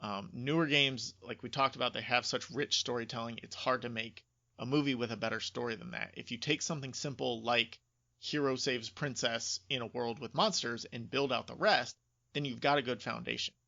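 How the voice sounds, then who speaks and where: A man co-hosts a casual podcast in a bright voice with a gravelly edge. He lays out his opinions emphatically. The audio is dry and close but somewhat dull and lo-fi. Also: mid-range voice, steady tone, a little fast. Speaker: podcast co-host.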